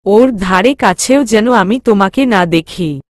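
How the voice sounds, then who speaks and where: A woman's voice: medium pitch, lively intonation, measured pace, no room sound, studio quality, close to an everyday voice. A woman voicing a stern, irritated father with a firm, commanding manner in an audio story.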